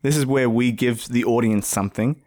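A man's voice: medium pitch, moderate pace, a little mellow. Laughing podcast co-host man. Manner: joking, laughs often, rambling restarts. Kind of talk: casual banter.

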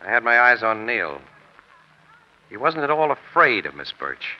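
A man's voice: mid-range voice, very animated, moderate pace, close to an everyday voice. An American man playing a savvy, easygoing crime photographer in 1940s radio drama, talking in casual slang. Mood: confident, reasoning. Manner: slangy, conversational. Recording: muffled old radio broadcast, music behind.

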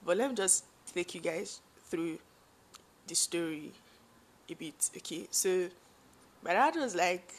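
A young Nigerian woman with a deep voice, chatting to her viewers about her late father, full of asides and fillers. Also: animated, slow, long pauses. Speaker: vlogger. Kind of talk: personal storytelling to viewers.

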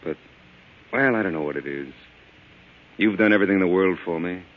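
An actor playing a cocky, evasive young mob lawyer in an old-time radio drama, brisk and defensive. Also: male voice, low-pitched. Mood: earnest, awkward. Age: young adult. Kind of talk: 1940s radio drama acting. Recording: dull lo-fi old radio recording.

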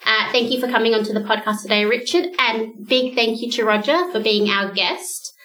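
A woman's voice: fairly high pitch, normal speed, a bit bright, roomy sound, rough recording. A woman hosting a podcast, chatty and reflective in a casual, self-mocking way. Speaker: podcast host. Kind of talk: casual chat.

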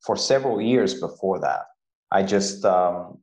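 A middle-aged man from Bulgaria with a Bulgarian accent, telling a personal story deliberately and emphatically as an interview guest. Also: low-pitched, creaky, a little mellow. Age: 40s. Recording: echoey room.

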